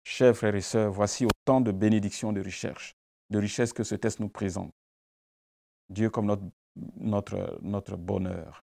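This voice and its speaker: A male pastor with a low, gravelly voice preaches a devotional meditation. He builds his points through emphatic repetition and rhetorical questions. French is not his first language. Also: fairly even intonation, a little slow, mellow. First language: a language other than French. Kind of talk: devotional sermon and prayer.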